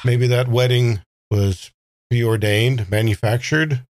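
A man playing a tabletop roleplaying game, improvising dialogue and narration in a halting, thoughtful way. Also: mid-range voice, steady tone, no room sound, clean studio sound. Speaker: player in a tabletop roleplaying audio drama. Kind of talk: improvised in-character talk and casual narration.

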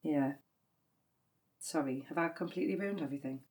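An actress playing a blunt, emotional grieving sister with dark humour in a naturalistic audio drama. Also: female voice, low-pitched, brisk, close to an everyday voice. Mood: tender, sad. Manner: wry, sweary, dramatic. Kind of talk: naturalistic audio drama acting, comedy and pathos.

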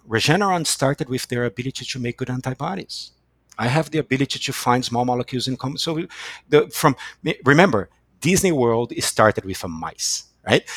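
A man with a Brazilian accent, a company chief executive answering as a podcast guest in a halting, emphatic, repeating way. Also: mid-range voice, a bit bright, dry recording. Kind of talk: unscripted podcast interview.

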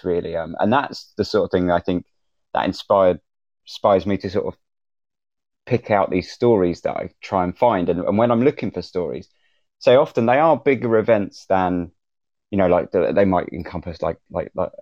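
A man podcaster casually explaining on a panel, halting and often repeating words, in a roomy, dull recording. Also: low voice, darkish tone. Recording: roomy, slightly dull lo-fi sound.

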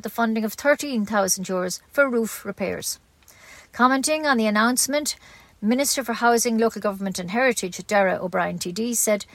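A woman's voice: medium pitch, no echo. A woman with an Irish accent reading scripted community news as a local radio newsreader.

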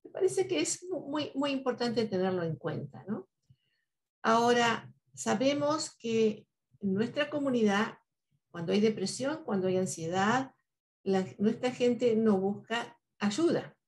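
A woman's voice: a little high, lively intonation, measured pace, poor audio. Earnest Spanish-speaking woman, reflective talk. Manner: earnest, speaks with many "¿no?" tags and "eh" fillers.

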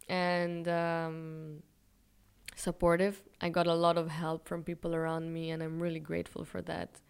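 A young woman from Israel speaks in a smooth, clear voice that sits a little low and stays fairly level. She answers interview questions thoughtfully and candidly, pausing on fillers and laughing at herself now and then. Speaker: interviewee, fashion brand founder.

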